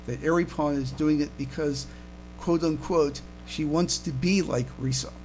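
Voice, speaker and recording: A man hosting a casual podcast discussion, speaking haltingly and repeating words, over a noisy internet call. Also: on the high side, fairly even intonation, darkish tone. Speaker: podcast host.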